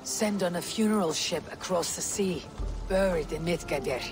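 Actress as stoic Viking warrior, female, grave, measured delivery, game cutscene, background music. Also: fairly low pitch, lightly acted. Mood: solemn storytelling. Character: stoic Viking warrior woman, weathered and solemn. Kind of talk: dramatic game cutscene acting, storytelling.

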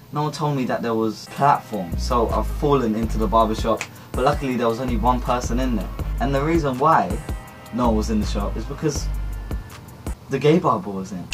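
Teen male vlogger, chatty and slangy, faint music behind, bedroom on camera mic. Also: mid-range voice. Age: teens. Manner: chatty, animated hand gestures, slangy. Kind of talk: casual storytime to camera. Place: small cluttered bedroom indoors.

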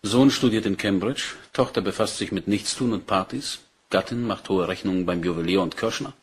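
A man's voice: deep voice, fairly quick, husky. A man acting a dutiful, matter-of-fact police inspector in a German crime radio play, polite and orderly in his delivery. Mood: reporting. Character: dutiful, matter-of-fact male Scotland Yard detective inspector.

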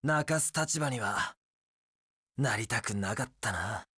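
Carefree romantic young warrior, unhurried. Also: male voice, medium pitch, expressive, pushed and bright, breathy edge, no room sound, clean studio sound, full character voice. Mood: wistful. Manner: theatrical, breezy, poetic. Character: carefree, romantic young male wandering warrior with tender streak. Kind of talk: Japanese action game voice acting.

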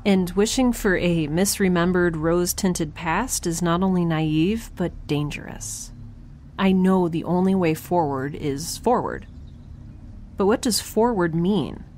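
Woman playing a low-voiced, chatty young space scientist. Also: a little mellow, natural voice. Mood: musing, wry. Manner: chatty, wry, self-interrupting, informal. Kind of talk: audio drama, first-person spoken log.

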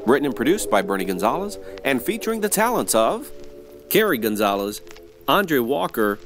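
An actor playing a theatrical, peppy announcer on an old-time mystery radio show. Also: male voice, medium pitch, very animated, normal speed, over music, lightly acted. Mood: showy, ominous.

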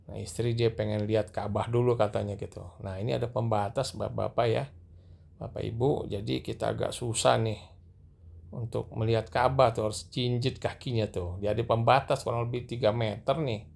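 A middle-aged man narrating a walking tour through a large mosque and onto its rooftop at night, gently addressing his viewers as he films. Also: mid-range voice, steady tone, moderate pace, creaky. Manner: gentle, repeatedly addressing viewers as sir and madam. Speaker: vlogger guiding viewers. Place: large mosque, indoor escalators then open rooftop at night.

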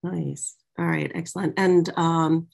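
A woman's voice: fairly low pitch, fairly even intonation, slow pace, creaky, a little mellow. A middle-aged woman hosting a casual conversation over a video call, putting audience questions in an informal, hesitant way. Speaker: interviewer, moderator. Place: small office, indoors. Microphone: video call, computer microphone.

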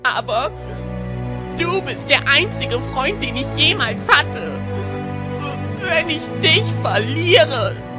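Woman doing a clingy sad cartoon creeper, devoted, pleading, kinda high, music behind. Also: sing-song, slow, exaggerated voice. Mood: hurt, pleading. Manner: pleading, plaintive. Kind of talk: cartoon fandub acting.

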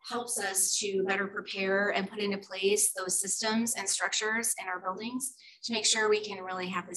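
A woman presenting program data at a school board meeting, steady and measured, with frequent ums. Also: mid-range voice, low-quality recording. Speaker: school district English learner program presenter.

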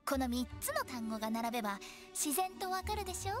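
High, lively, cheeky young woman. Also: brisk. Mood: playful, theatrical. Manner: teasing, theatrical, sing-song salesmanship. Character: playful, cheeky young woman funeral parlor director. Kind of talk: anime game voice acting. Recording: clean studio voice over game music.

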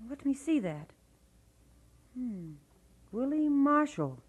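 A woman's voice: sits high, sing-song, slow pace, breathy edge, exaggerated voice. A woman playing a homely middle-aged Scottish mother in an old-time radio drama. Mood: plain, thoughtful.

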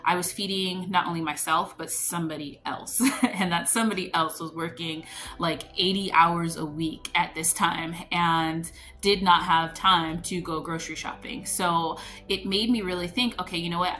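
A woman in her thirties talks casually to her camera, laughing lightly as she explains her shopping habits. Her voice sits a little low for a woman. Faint music plays under her. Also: sharp tone. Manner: chatty, explains with hand gestures, laughs between points. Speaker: homestead vlogger. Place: home room, later a grocery store and kitchen counter.

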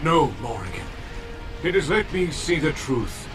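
A grandiose fanatical villain. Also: male voice, on the high side, very animated, measured pace, over music. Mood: zealous. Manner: grandiose, zealous proclamations. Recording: distant voice under loud game score.

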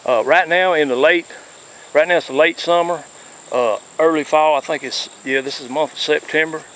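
A man filming as he walks a nature trail by a river, narrating casually with many fillers and pauses, unsure of plant names. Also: a little high, fairly even intonation, fairly bright tone, rough recording. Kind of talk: casual narration while walking. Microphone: handheld camera mic. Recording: faint outdoor noise with crickets.

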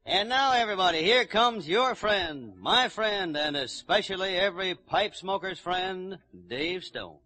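Man, high-pitched voice, 1940s radio host, folksy, hearty announcing, old broadcast recording. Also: expressive, measured pace, hardly any pauses, pushed and bright. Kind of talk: 1940s live radio emcee.

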